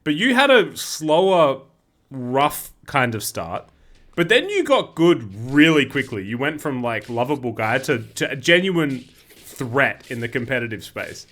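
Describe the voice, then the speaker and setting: A man's voice: medium pitch, sing-song, unhurried, fairly bright tone. A man hosting a gaming video who talks upbeat, laughs often and then interviews a guest. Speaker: host.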